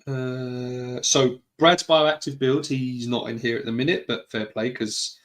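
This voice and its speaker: A man's voice: medium pitch, steady tone, measured pace. Chatty British man hosting a live stream. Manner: chatty, greets viewers, rambling asides. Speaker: podcast host. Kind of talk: casual live stream chat.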